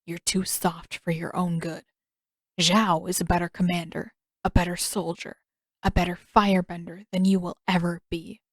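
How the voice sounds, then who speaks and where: A woman's voice: on the low side, little pitch movement, normal speed, no room sound, clean studio sound. Woman reading as stern, belittling inner voice. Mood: contemptuous. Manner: cold, contemptuous. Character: stern, belittling deep-voiced man in a boy's thoughts.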